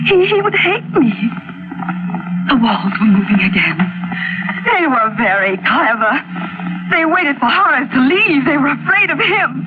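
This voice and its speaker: An actress playing a terrified, unhinged wife in an old radio mystery, stammering and pleading in a melodramatic style. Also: female voice, sits high. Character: terrified, unhinged wife tormented by guilt and hallucinations. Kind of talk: 1940s radio mystery acting. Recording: tinny vintage radio broadcast with music.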